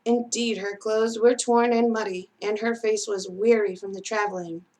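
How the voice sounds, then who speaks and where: A woman's voice: mid-range voice, steady tone, moderate pace, a little mellow, low-quality recording. A woman reading a storybook aloud to children as a storytime narrator. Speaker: children's storytime reader at a library.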